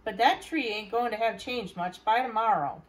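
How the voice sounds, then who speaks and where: A woman reading a storybook part of a wise, gentle older woman gardener who talks in folksy, reassuring phrases. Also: a little high, normal speed, natural voice, low-quality recording. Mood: fond, plainspoken.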